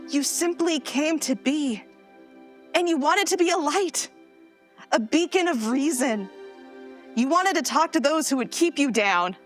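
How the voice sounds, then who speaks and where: A woman playing an excitable, earnest geeky heroine in a live sci-fi comedy drama, speaking emphatically. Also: high voice. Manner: expressive, emphatic, pleading.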